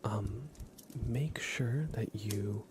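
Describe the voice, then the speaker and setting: A man's voice: on the low side, extremely slow, background noise. A man hosting an ASMR livestream in a whispered, casual and hesitant manner, chatting and commentating. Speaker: live streamer, host.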